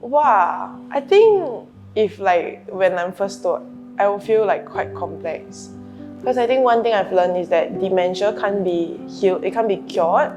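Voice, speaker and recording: A woman's voice: mid-range voice, lively intonation, unhurried, a little mellow. A young woman, a nursing student answering in an earnest, reflective way. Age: young adult. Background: guitar music.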